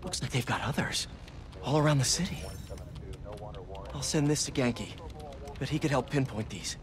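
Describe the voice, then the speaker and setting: A man's voice: medium pitch, lively intonation, normal speed, crowd noise, close to an everyday voice. A man acting a quippy, friendly young superhero in a video game, with an American accent and a chatty manner. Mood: musing. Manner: chatty, wisecracking. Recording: loud game music behind the voice.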